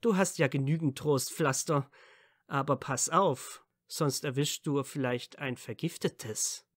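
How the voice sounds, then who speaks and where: A man's voice: fairly high pitch, a little slow, dry recording. Guy doing a playful pouty wizard boy for a fan audio drama, mock offended, really dry sound. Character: playful, cheeky, sulky teenage wizard boy. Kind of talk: fan audiobook character acting.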